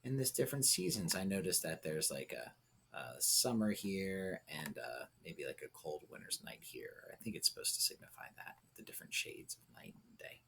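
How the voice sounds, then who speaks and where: A man's voice: low voice, sing-song, moderate pace, gravelly, a bit bright, a little hiss or hum. A man teaching a lesson, thinking aloud and coaching step by step with short check-in questions. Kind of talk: instructional lesson, thinking aloud through a writing example.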